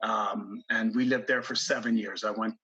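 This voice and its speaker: Man, 50s, from Iran, husky voice, halting interview guest, dull lo-fi audio. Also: fairly low pitch, steady tone, bright, forceful tone. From grew up in Iran, born in the US. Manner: halting, many ums and restarts. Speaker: guest investor. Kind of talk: casual interview storytelling.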